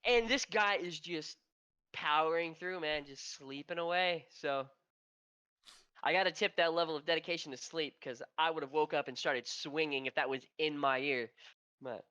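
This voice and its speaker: A man's voice: fairly high pitch, moderate pace, sharp tone, clean tone, no room sound, dull audio. A man co-hosting a casual esports podcast, with a nasal, stuffy voice from allergies and a profane, rambling way of telling stories. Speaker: podcast co-host.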